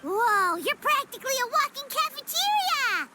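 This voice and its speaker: A woman voicing a tiny, childlike, excitable fairy companion in a video game, with a squeaky voice and exclaiming delivery. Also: moderate pace, noisy background. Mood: excited. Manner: exclaiming, speaks of herself in third person. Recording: music behind the voice. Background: game music.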